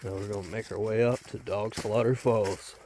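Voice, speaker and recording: A man's voice: fairly low pitch, moderate pace. A man, congested from a sinus cold, casually narrating a hike in the woods. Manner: easygoing, relaxed. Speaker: hiking vlogger. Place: outdoors in a forest and in a car. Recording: noisy outdoor camera recording.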